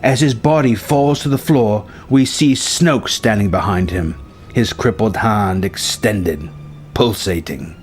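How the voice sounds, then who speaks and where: A man telling a film story as a narrator, with a dramatic delivery and pauses for suspense. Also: lowish voice. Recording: music bed under the voice. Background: music.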